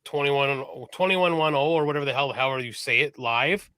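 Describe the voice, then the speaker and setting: A man's voice: mid-range voice, brisk, pushed and bright, clean tone, dry recording. A man co-hosting a casual live-streamed podcast, chatty and enthusiastic about music, swearing casually. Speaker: podcast co-host.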